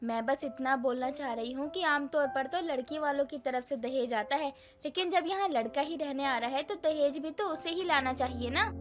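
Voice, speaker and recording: A woman playing a sharp, grumbling middle-aged mother-in-law in a Hindi cartoon story, with a nagging, pointed way of talking. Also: high voice, moderate pace, hardly any pauses, lightly acted. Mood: demanding. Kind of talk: animated moral story acting.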